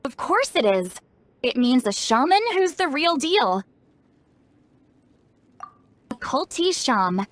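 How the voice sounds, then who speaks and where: A woman's voice: high-pitched, very animated, takes it slowly, pauses often, a little hiss or hum, heavily acted. An actress playing a cocky, blunt young female shaman in a video game, speaking in a self-assured, brusque, explanatory way. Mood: smug. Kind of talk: animated video game voice acting.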